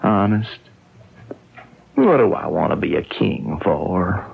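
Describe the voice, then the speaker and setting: A rustic, simple-hearted husband in an old radio drama. His voice is husky and gravelly, folksy and emphatic, with lively intonation. The recording is thin and phone-like. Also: low voice, slow, exaggerated voice. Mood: wistful. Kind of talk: 1970s radio drama acting. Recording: narrow-band vintage radio recording.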